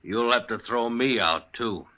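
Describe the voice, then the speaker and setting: A man's voice: medium pitch, sharp tone, muffled recording. An actor playing a big, plain-spoken American mountain man, a protective husband, in a western radio drama. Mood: firm, threatening. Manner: plain-spoken, folksy, deliberate.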